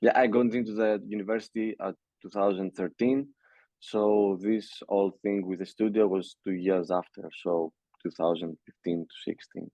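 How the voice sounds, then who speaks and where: Deep-voiced Greek man, halting speech. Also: fairly even intonation, warm tone, no room sound. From Greece. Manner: halting, many fillers and restarts. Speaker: music producer, guest. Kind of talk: casual interview.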